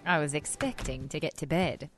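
A woman's voice: low voice, normal speed, a bit bright, slight background noise. A woman playing a sly, inquisitive British spy in radio theater, with an arch, playful manner. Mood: wry.

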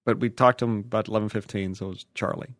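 A man co-hosting a podcast in casual banter, with a deadpan joking manner. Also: on the low side, rapid-fire, no echo. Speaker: podcast co-host.

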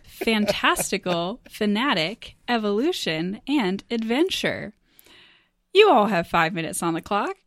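A woman with a medium-pitched, very lively voice, recorded close and dry. She hosts a game casually, announcing turns and prompting players. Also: a little slow, no echo. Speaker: game show host.

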